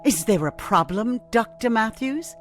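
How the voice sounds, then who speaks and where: A woman's voice: on the high side, animated, unhurried. An actress playing a stern, suspicious woman doctor in an audio drama, stiff and disdainful. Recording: music under the voice.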